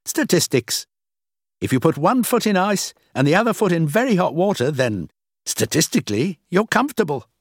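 A matter-of-fact, reassuring man in an audiobook, acted by a male narrator. He explains things in a raised, very animated voice, close and dry. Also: high voice, moderate pace, no room sound, pitched above the performer's own voice. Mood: explaining. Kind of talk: audiobook character acting.

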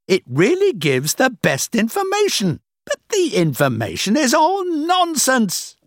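A man's voice: sits high, sing-song, very slow, gravelly, a bit bright, husky, no room sound, clean studio sound, pitched above the performer's own voice, full character voice. A man voicing an angry, arrogant, dismissive businessman fortune teller in an audiobook, blustering and impatient. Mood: scornful, gloating. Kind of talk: audiobook character acting.

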